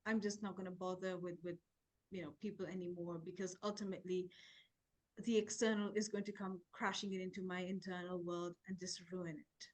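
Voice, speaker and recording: A woman's voice: on the low side, flat delivery, brisk. A woman hosting an interview, asking thoughtful, searching questions and often restarting her phrases. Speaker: host. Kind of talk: interview conversation.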